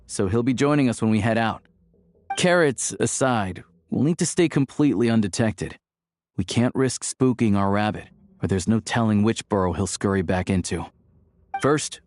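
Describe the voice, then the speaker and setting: A man's voice: fairly low pitch, darkish tone, close to an everyday voice. A man voicing an easygoing, friendly young hunter in a video game, with a casual, wry manner.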